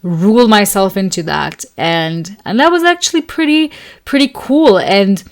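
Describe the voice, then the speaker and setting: A woman's voice: medium pitch, lively intonation, measured pace. A young adult woman whose first language is Serbo-Croatian, telling a story in a casual, chatty monologue as an astrology host, full of fillers and restarts. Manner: chatty, many fillers and restarts, laughs often.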